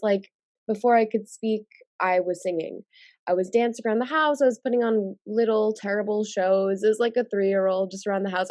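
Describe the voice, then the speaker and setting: A woman's voice: mid-range voice, mellow, no room sound. A young woman in her twenties from New York, congested from a cold, chatting casually with many fillers and restarts in an interview over a video call. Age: 20s. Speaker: singer-songwriter guest.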